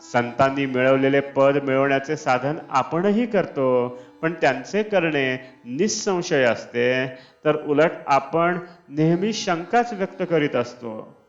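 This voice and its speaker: A man reads a devotional discourse slowly and deliberately, at a medium pitch. The recording is dull and lo-fi, with Indian classical instrumental music playing behind his voice. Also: lively intonation. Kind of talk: scripted devotional discourse reading.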